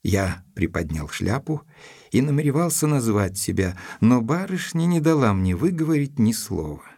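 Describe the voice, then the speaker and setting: Courteous gentleman narrator for audio drama: lowish, husky, close dry sound, measured and literary. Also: sing-song, no room sound. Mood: observant, narrating. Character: Doctor Watson, observant, courteous gentleman narrator.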